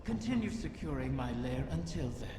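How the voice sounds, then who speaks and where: An actor voicing an ancient, cunning dragon in a video game, speaking theatrically and deliberately with silky menace through a cavernous reverb. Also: medium pitch, lively intonation, background noise. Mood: cold menace. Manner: slow, theatrical, deliberate, veiled threats. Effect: cavernous reverberant echo on the voice. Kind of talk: scripted video game character acting. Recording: long echoing reverb, music underneath.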